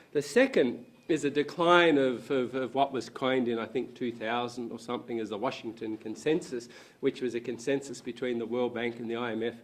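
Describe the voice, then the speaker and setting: A hoarse, croaky-voiced man, an academic speaking on a panel at a university event, hesitating often with ums and restarts. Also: medium pitch. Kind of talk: public lecture talk. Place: university lecture room.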